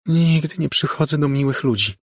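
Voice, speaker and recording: A man's voice: a little high, moderate pace, dry recording, studio quality, pitched above the performer's own voice, close to an everyday voice. A man voicing an eerie, insolent little ghost girl who talks in a cool, scolding, condescending way in an audiobook story. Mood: taunting.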